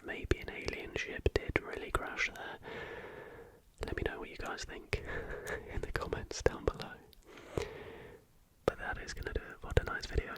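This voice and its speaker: A man narrating in an unvoiced, breathy whisper as the softly spoken host of an ASMR channel.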